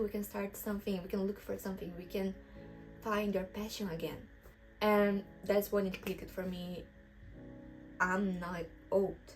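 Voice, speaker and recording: An encouraging young woman from Brazil speaks English with a Brazilian accent, her mid-range voice earnest and candid. She chats to the camera in a relaxed vlog, with clear music playing underneath. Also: medium pitch. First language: Portuguese. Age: 20s. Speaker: vlogger. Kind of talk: casual advice vlog to camera. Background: background music.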